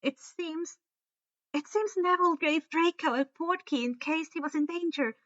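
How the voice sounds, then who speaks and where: A woman's voice: very high-pitched, fairly bright tone, muffled recording, voice pushed up. A woman voicing an eager, urgent young witch in a fantasy story reading. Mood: explaining. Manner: quick, urgent.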